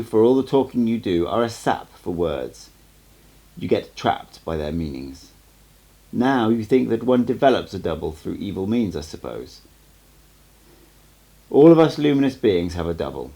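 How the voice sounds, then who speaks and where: A man voicing a stern, cryptic old sorcerer teacher in an audiobook, lecturing in a measured, emphatic way. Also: lowish voice, gravelly, dark tone. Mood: stern, patient. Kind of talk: amateur audiobook character reading.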